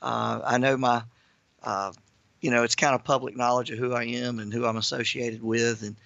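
A hoarse man speaks as a guest on a talk radio show, casual and full of fillers. His voice is gravelly and bright. The audio sounds dry and dull, like a broadcast. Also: medium pitch, flat delivery, normal speed, no echo. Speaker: guest, ministry founder. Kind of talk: radio interview.

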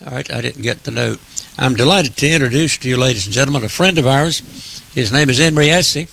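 A man hosting a radio interview as a pastor, speaking in a formal, deliberate, preacherly way. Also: mid-range voice, vocal fry, fairly bright tone, a little hiss or hum.

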